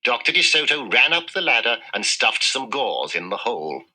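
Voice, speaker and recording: A man reading a children's story in an expressive, measured storytelling manner. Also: medium pitch. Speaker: storybook reader. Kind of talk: scripted children's story narration. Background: music.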